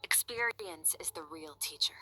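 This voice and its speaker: A woman's voice: sits low, expressive, moderate pace, pushed and bright, slight background noise, exaggerated voice, low-quality recording. A woman voicing a cool, stoic warrior mentor in a video game, composed, blunt and instructive. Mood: firm, instructive. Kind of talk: scripted video game dialogue.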